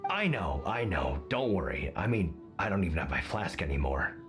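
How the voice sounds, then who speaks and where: A man's voice: sits low, big pitch swings, moderate pace, over music. A man playing a sheepish, placating middle-aged hunter father who likes his drink in a video game.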